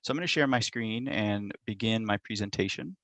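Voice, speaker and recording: A middle-aged man speaks in a fairly low voice, recorded dry and close on a computer mic. He presents an academic talk in a measured, thoughtful way, pausing often with ums and uhs. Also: fairly bright tone, no echo. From Montana, United States. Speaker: university lecturer. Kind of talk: academic presentation over video call. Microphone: computer mic on a video call.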